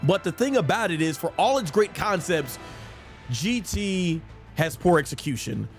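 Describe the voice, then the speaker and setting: Higher-pitched male streamer in his thirties. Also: animated, normal speed, faint music behind. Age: 30s. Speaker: streamer and host of a live gaming show. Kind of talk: casual livestream chat answering viewer questions.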